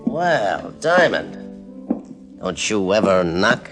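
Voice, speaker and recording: Casting: shady American gambling boss, low voice, sarcastic and threatening, vintage radio crime show. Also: male voice, big pitch swings, takes it slowly, fairly bright tone, faint music behind, heavily acted. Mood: guarded, menacing. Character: smooth-talking, menacing male gambler and club boss. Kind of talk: old-time radio crime drama.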